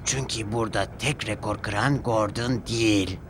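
A man's voice: on the low side, steady tone, a little slow, background noise. Man doing a subdued, sullen old diesel engine. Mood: resentful. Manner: subdued, quiet. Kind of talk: children's cartoon storytelling. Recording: loud music behind the voice.

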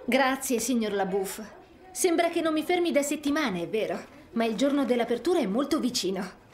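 A woman playing a kind, hardworking young restaurateur in an animated video game, sincere and composed. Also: on the high side, brisk, close to an everyday voice.

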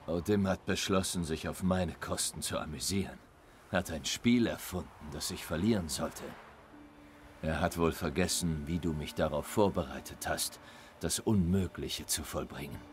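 An actor voicing a grizzled, laconic monster hunter in a German game dub, speaking in a terse, dry way. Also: male voice, deep voice, normal speed, a little hiss or hum, lightly acted. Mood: calm. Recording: studio dub over loud music.

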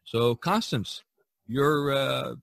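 A man talking casually as a podcast host, halting and repeating himself, often dropping in "you know". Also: mid-range voice, creaky, fairly bright tone.

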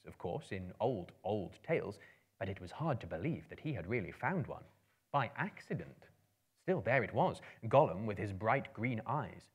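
A man in his thirties giving a theatrical, suspenseful narration of a storybook in measured phrases. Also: on the low side, husky. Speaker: narrator, dramatic reader. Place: indoor book-lined room, live audience.

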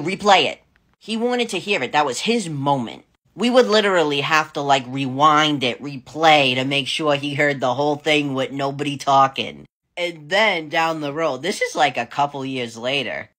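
A young woman, chatting to camera about her son, animated and laughing often, filmed on a phone at arm's length in a small wood-paneled room. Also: deep voice. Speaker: parent vlogger. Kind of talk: casual chat to camera. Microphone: phone held at arm's length.

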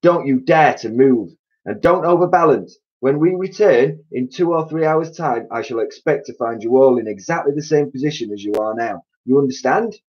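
A man voicing a cruel, bossy old man who shouts and barks orders. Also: medium pitch, animated, normal speed, highs cut off, voice pushed up, lightly acted. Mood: commanding.

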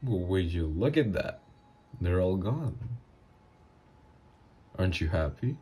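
Male actor as psychopathic, flirty villain ex for ASMR roleplay; dark, mellow tone with swooping intonation, smug and teasing. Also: low voice, lively intonation, slightly rough, exaggerated voice. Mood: smug menace. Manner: smug, drawn-out, intimate teasing.

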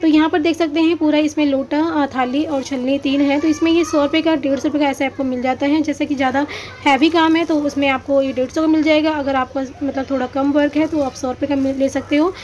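A woman's voice: high-pitched, fairly even intonation, fairly quick, darkish tone, highs cut off, a little hiss or hum. A woman shopping vlogger giving a walking tour of an outdoor street market, talking chattily to viewers about prices with many hesitations. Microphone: handheld phone, camera pointed at goods.

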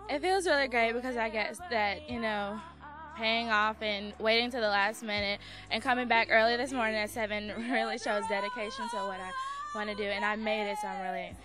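A woman's voice: on the high side, steady tone, a little fast. A young woman being interviewed backstage after her talent show set, answering eagerly and gratefully into a handheld mic. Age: young adult. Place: convention backdrop, handheld mic. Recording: music playing behind.

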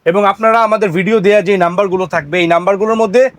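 A Bangladeshi-accented man giving an informal, talkative shopkeeper's sales pitch, repeating himself and dropping in English words. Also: fairly even intonation, racing, no echo. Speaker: shopkeeper selling telephones. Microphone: close microphone.

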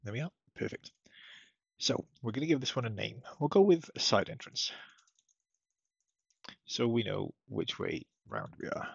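A man with a low, husky voice talks through software steps casually, as a technical support presenter. The sound is dull and slightly lo-fi, recorded at a computer. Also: big pitch swings, unhurried, long pauses, dry recording. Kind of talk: casual step-by-step software tutorial narration. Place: indoors at a computer, screen-recording voice-over. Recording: dull lo-fi close dry microphone.

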